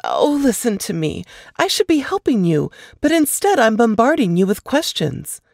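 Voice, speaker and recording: A woman voicing an anxious, warm and chatty elder sister in an audiobook, effusive and full of fussing concern. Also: on the high side, very animated, normal speed, vocal fry, no room sound, clean studio sound, voice pushed up, exaggerated voice. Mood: chatty, flustered. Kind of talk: audiobook character dialogue.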